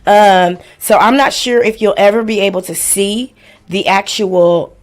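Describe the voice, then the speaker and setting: A woman's voice: medium pitch, unhurried. A woman in her forties hosting a casual livestream, chatting in a blunt, playful, slangy way and swearing freely. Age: 40s. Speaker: livestream host. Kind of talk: casual livestream chat with house rules and shout-outs.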